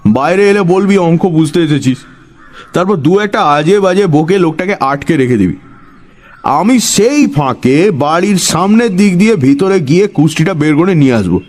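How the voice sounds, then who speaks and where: Guy doing high pitched quirky village know-it-all, bossy n scolding, very animated, audio story style. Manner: scolding, self-assured, colloquial.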